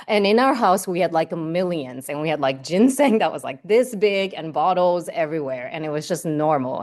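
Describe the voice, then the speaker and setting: Chatty woman with a low voice. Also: a little mellow. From Hawaii. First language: Japanese. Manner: chatty, many fillers like "um" and "like". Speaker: guest seminar speaker. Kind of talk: personal academic seminar talk.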